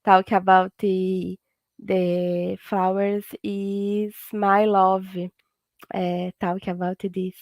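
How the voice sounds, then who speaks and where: A woman with a Brazilian Portuguese accent, a veterinarian guest speaking halting, repetitive non-native English in an informal conversation. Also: mid-range voice, little pitch movement, a little mellow, no room sound.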